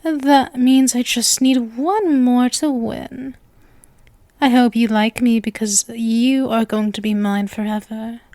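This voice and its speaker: Girl voicing a clingy lovesick witch, high sugary voice, takes her time, coy n smug, asmr roleplay. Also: steady tone, slow, a little mellow, no room sound, clean studio sound. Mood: smug, sweet. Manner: coy, teasing, sugary with menacing devotion. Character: sweet, possessive young witch, smugly lovestruck and clingy. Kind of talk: ASMR roleplay acting addressed to the listener.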